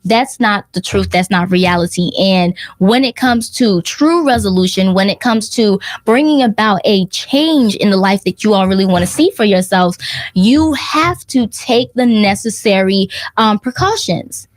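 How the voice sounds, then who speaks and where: An emphatic woman in her thirties talks as a guest in a relaxed interview, hammering points home by saying them again. Her voice is mid-pitched and sits close and dry, with no room sound. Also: unhurried, no room sound. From United States. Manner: emphatic, repeats points for stress. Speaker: guest, herbal detox business owner. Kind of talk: casual interview chat. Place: radio or podcast studio.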